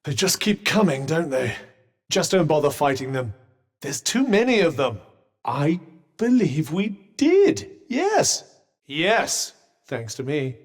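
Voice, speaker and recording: A man's voice: fairly high pitch, big pitch swings, takes it slowly, in a large hall, exaggerated voice. An actor voicing a sardonic male magic bracelet companion in a game, with dry, witty, teasing quips. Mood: wry. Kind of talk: game voice lines.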